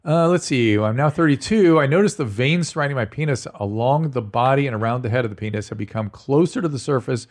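A man's voice: medium pitch, quick pace, hardly any pauses. A man hosting a podcast in casual chat, frank and joking, laughing between points. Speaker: podcast host.

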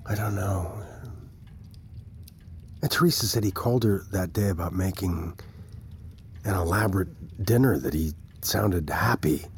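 An actor playing a concerned, probing male adviser in a naturalistic audio drama, halting, careful and understated. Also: low-pitched, darkish tone, a little hiss or hum. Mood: subdued, doubtful.

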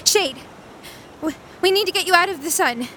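A woman playing a tender, guilt-ridden young fantasy adventurer with a halting, anxious, caring delivery, in an audio drama. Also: very high-pitched, lively intonation, bright, forceful tone, a little hiss or hum, exaggerated voice. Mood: tender worry. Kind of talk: audio fiction drama acting.

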